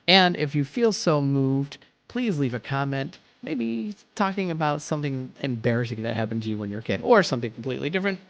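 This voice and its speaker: A man narrates a children's story with a lively, expressive delivery. His pitch moves freely as he reads, in the friendly manner of a podcast host talking straight to his listeners. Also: brisk, gravelly, few pauses, muffled recording.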